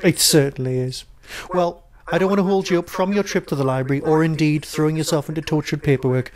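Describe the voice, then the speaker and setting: A man's voice: a little high, fast talker, a little mellow. A man with a Geordie accent hosting a podcast interview, talking eagerly and tripping over the first words of his sentences. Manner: enthusiastic, repeats words when starting. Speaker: podcast host. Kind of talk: casual interview chat.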